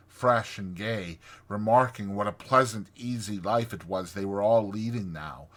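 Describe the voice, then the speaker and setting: The man you would hear on a dramatized audiobook of an old children's classic, telling the tale theatrically in a lowish, keen-edged voice. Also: a bit bright. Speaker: audiobook narrator. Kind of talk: dramatized storybook narration.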